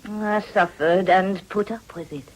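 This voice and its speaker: Woman playing a husky, worldly middle-aged madam. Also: mid-range voice, natural voice, rough recording. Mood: world-weary. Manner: unhurried, suave, courteous. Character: worldly, discreet older madam of a brothel. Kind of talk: period radio drama acting.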